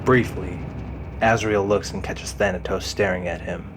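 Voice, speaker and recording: A man's voice: deep voice, steady tone, normal speed, noisy background. A man reading scripted dramatic narration as the narrator of an audio drama. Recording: music behind the voice.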